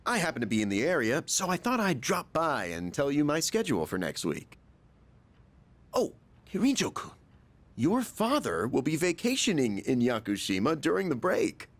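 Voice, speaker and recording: An actor playing a breezy, genial chairman in a video game, smooth, theatrical and casually persuasive. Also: on the high side, wildly swooping pitch, moderate pace. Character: breezy, genial adult male school club chairman.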